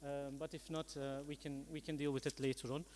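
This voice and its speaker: A man's voice: medium pitch, level tone, brisk, a little mellow, slight background noise. A man chairing a conference session, speaking politely and hesitantly in semi-formal, unscripted remarks. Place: large conference hall. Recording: echoey hall with long reverb.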